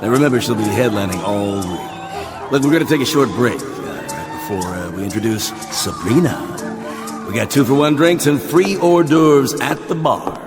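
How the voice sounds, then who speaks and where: An actor playing a brash, hyped-up nightclub emcee announcing from the stage in a radio drama. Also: male voice, medium pitch, normal speed, natural voice. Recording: loud club music and crowd behind.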